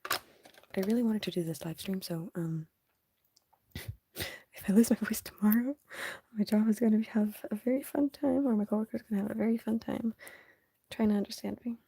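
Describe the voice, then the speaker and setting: A young woman chatting casually on a live stream while she draws, soft and half-whispered, sniffly, her voice going hoarse. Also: mid-range voice, normal speed, pauses often, no room sound. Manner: soft, often half-whispered, hesitant and rambling.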